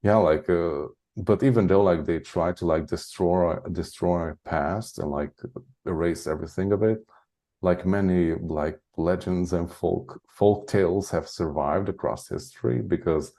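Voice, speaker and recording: Man, very low deep voice, dark mellow tone, rambling chat full of "like" and chuckles. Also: a little slow. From Hungary. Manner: rambling, heavy use of "like", frequent chuckles. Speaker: guest, spiritual channeler. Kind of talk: casual interview chat.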